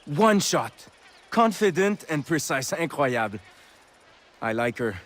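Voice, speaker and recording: A man's voice: fairly high pitch, sing-song, slow pace, a bit bright, a little hiss or hum, full character voice. A man playing a hesitant, lovestruck frontiersman in a video game, with a French accent and a sincere, earnest manner. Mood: smitten, earnest. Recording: faint insect and outdoor ambience behind.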